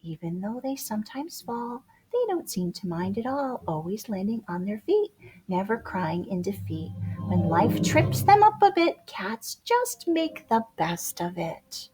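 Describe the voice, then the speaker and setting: Female storyteller for a children's picture book: lively, swooping delivery at a slow pace, playful emphasis, over music. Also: mid-range voice, slight background noise, poor audio. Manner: playful, expressive, drawn-out words and dramatic emphasis. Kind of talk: animated rhyming storybook read-aloud for young children. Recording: music behind the voice.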